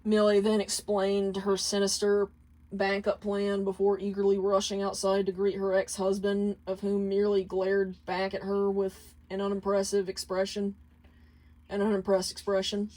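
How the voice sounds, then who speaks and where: A woman's voice: medium pitch, completely flat delivery, moderate pace, darkish tone, poor audio. Woman narrating a fan fiction story. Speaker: story reader.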